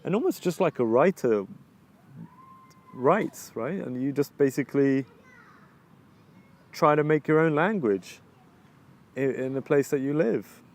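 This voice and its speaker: A man with an English accent, answering interview questions in a halting, reflective way, often restarting sentences while he searches for words. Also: a little high, lively intonation, a little mellow. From England. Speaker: videographer interviewee. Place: outdoors overlooking the ocean.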